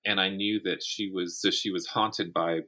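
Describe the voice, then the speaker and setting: A man's voice: low voice, fairly even intonation, normal speed, pushed and bright. A man giving a conversational interview as a guest writer, explaining his craft and thinking aloud as he goes. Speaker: guest author and audio drama writer.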